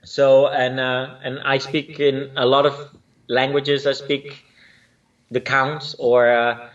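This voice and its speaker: A man's voice: medium pitch, flat delivery, slow, fairly bright tone, recorded from a distance, dull audio. A man from Spain who grew up with Spanish and Dutch speaks English as a guest in a casual interview. He talks hesitantly, with many fillers and restarts. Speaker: line dance instructor, guest.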